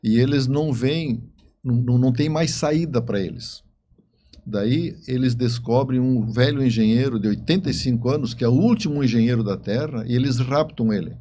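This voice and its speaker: A middle-aged man with a southern Brazilian accent and a soft, dark tone, telling stories as an interview guest. He halts and repeats words as he goes. There is some steady noise behind him. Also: mid-range voice, normal speed. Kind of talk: conversational storytelling.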